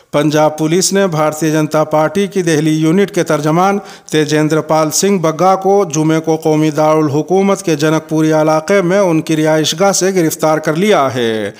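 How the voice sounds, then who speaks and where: A male news presenter with a fairly high, very clean and smooth voice. He reads scripted bulletins formally and keeps a measured delivery. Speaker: news presenter and host of the show.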